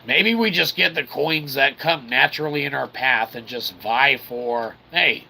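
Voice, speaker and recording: Gravelly man doing wry game commentary. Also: medium pitch, unhurried, pushed and bright, slight background noise, poor audio. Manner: wry, talks to the game and its characters, mild swearing. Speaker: host of a gameplay video. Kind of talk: casual video game play commentary.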